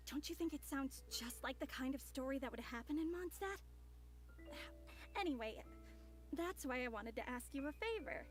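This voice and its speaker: A woman voicing a polite, inquisitive young female traveler in a video game, courteous and gently composed. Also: sits high, expressive, normal speed, pauses often, faint music behind. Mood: polite, curious.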